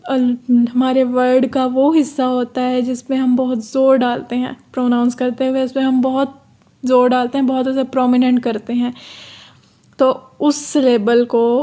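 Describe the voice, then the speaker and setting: High, level-voiced woman tutor. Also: warm tone. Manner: reads English lines slowly, then explains them in Urdu; repetitive, checks in with "theek hai". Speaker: online course tutor. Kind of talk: lecture explaining course notes.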